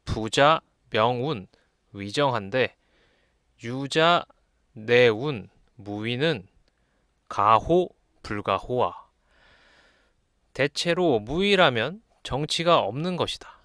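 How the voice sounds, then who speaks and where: A man's voice: mid-range voice, expressive, slow pace, pauses often, fairly bright tone, no echo. Man giving a dry-sounding explanatory lesson. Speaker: lecturer. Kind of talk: explanatory lesson, reading classical texts aloud and commenting.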